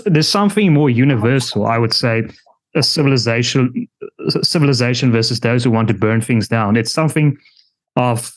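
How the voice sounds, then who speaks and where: A man's voice: mid-range voice, rough recording. Podcast host man, conversational and persuasive. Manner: conversational, persuasive, thinking aloud. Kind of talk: interview discussion.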